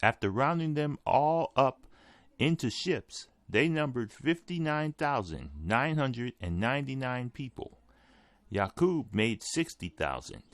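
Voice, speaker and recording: A man's voice: mid-range voice, very animated, a little slow, no echo. A man reading a written article aloud as scripted narration. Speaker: article reader.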